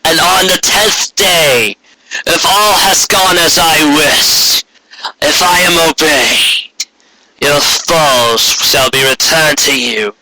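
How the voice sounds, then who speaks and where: A man's voice: fairly high pitch, takes it slowly, background noise, voice pushed up. A man voicing a grandiose, menacing female alicorn tyrant who proclaims in archaic, theatrical royal speech for a story. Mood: triumphant.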